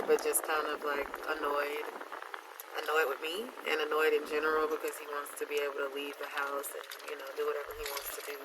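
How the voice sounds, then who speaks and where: A young woman who sounds nasal and congested from being sick, talking casually and wearily to her camera. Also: noisy background. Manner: tired, low-key, trailing off quietly. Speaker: vlogger. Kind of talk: casual vlog talk to camera. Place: at home, then at a pharmacy drive-through.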